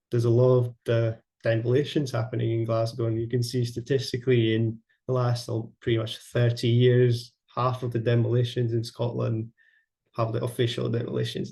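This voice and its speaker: Young guy presenting his project, flat voice, gravelly, keeps restarting. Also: mid-range voice. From Latvia. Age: young adult. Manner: halting, many fillers and restarts. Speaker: architecture student presenting. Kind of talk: online presentation.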